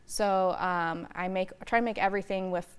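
A woman's voice: medium pitch, steady tone, fairly quick, clear, resonant tone. A young American woman giving casual answers as an interview guest, on a lapel mic in a TV studio. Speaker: interview guest, artist and art teacher. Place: TV news studio.

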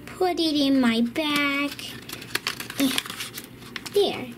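A woman's voice: sits high, drawn-out words. A woman voicing a tiny, eager, stubborn toddler girl doll in babyish short phrases, on a noisy toy-play recording. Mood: curious, eager. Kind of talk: improvised doll play for children.

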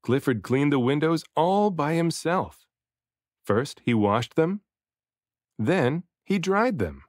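Animated man reading a children's storybook. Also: on the high side, takes it slowly, dry recording, studio quality. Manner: expressive, varies delivery for emphasis. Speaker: children's book reader, dad and voice actor. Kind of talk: read-aloud storybook narration.

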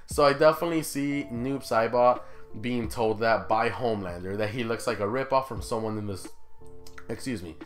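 A young man hosts a gaming video with casual, conversational commentary. He speaks at a middling pitch and puts informal questions straight to his viewers. Music plays behind his voice. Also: normal speed. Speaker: gaming YouTuber, host.

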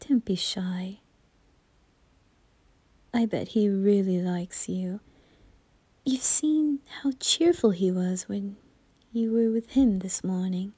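Woman as polite maid, hushed, soothing, deferential, mellow tone, unhurried, ASMR roleplay. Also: medium pitch, slightly rough, dry recording. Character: gentle, reassuring young maid, calm and polite.

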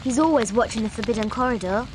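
A woman's voice: a little high, fast talker, noisy background, lightly acted. A woman voicing an earnest, cautious, bookish young schoolgirl witch in a video game. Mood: suspicious, worried. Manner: earnest, instructing. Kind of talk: scripted video game voice acting. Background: loud game music.

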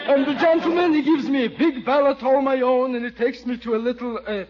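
An actor playing an eager, proud immigrant tailor with a foreign accent and earnest broken English, in 1940s radio comedy style. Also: male voice, very high-pitched, fairly even intonation, fairly quick. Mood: excited. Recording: dull lo-fi 1940s radio recording.

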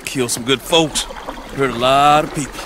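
A man's voice: medium pitch, fairly even intonation, moderate pace, background noise, close to an everyday voice. An actor playing a good-natured, plain-spoken swamp boatman in a video game. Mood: cautioning. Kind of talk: dramatic video game acting.

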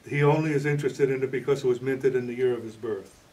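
A man's voice: medium pitch, fairly even intonation, fast talker, warm tone, recorded from a distance, low-quality recording. A man in his sixties answering questions as an author in an interview. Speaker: novelist and playwright being interviewed.

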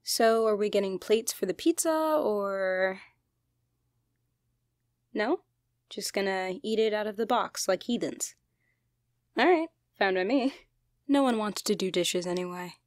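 A woman playing an expressive young genderfluid best friend in a conversational ASMR roleplay. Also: medium pitch, measured pace, pauses often, no echo, studio quality, lightly acted. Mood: playful, teasing. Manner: teasing, casual, expressive, apologetic. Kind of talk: ASMR roleplay, one-sided conversational acting.

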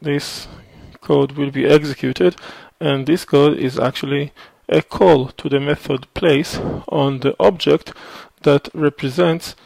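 A man slowly walking through a code sample in a screencast, explaining step by step with frequent fillers. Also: fairly high pitch, steady tone, gravelly, darkish tone, dry recording, poor audio. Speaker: programming instructor. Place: at a computer, voice over screen recording.

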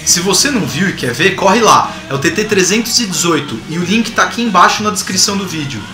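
A man's voice: high voice, animated, fast, over music. A man of about forty with a Brazilian Portuguese accent, hosting a video commentary to camera in an enthusiastic, theatrical manner that addresses viewers directly. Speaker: YouTube channel host. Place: small home room with bookshelves, indoors. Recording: roomy, echoing home recording.

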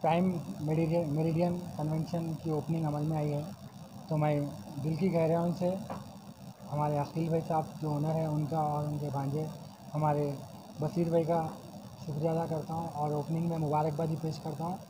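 A man gives earnest, formal thanks into a row of news mics. His pitch sits a little high yet stays flat and even. Clear background noise runs under him. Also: normal speed. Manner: formal, earnest, repeats fillers. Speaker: guest congratulating the owners. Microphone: many news mics on a table.

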